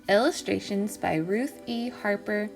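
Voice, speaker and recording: Slow, creaky-voiced woman reading a storybook. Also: medium pitch, soft background music. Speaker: teacher reading aloud. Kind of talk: scripted storybook narration for children.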